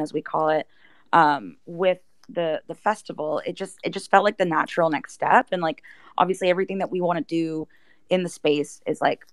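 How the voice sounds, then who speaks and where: A woman's voice: lowish voice, dry recording. A woman speaking as an interview guest, explaining things in a casual, chatty way. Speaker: interview guest, project team member.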